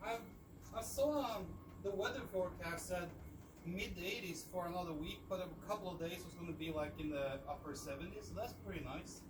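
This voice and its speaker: A man's voice: sits high, brisk. A middle-aged man from Norway, chatting casually as a vlogger showing used audio gear. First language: Norwegian. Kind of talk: casual chat. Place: shop room by an open door. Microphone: distant camera. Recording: far from the mic, clearly noisy.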